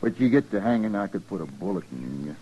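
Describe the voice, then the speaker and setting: An actor playing a matter-of-fact, grimly neighborly American rancher leading a lynching in a radio western, speaking in an unhurried folksy rural way. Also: male voice, low voice, expressive, warm tone, breathy edge, lo-fi sound. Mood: grimly calm. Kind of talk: 1950s radio western acting.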